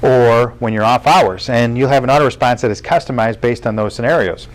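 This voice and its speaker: A man's voice: medium pitch, fairly quick, poor audio. American man giving an emphatic sales lesson. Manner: emphatic, with rhetorical questions. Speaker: car dealership sales trainer. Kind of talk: instructional sales training presentation. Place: meeting room with rows of empty chairs.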